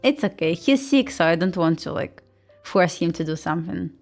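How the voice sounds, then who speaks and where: A woman's voice: fairly low pitch, animated, normal speed, a little mellow, soft background music. A woman with a Russian accent hosting a casual trading livestream, chatting informally and commentating in slightly non-native English. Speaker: host, futures day trader.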